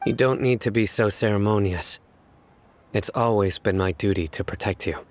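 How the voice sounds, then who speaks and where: A man's voice: fairly low pitch, normal speed, a little hiss or hum, close to an everyday voice. A man playing a stern, loyal guardian in a video game. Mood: solemn. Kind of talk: scripted video game dialogue.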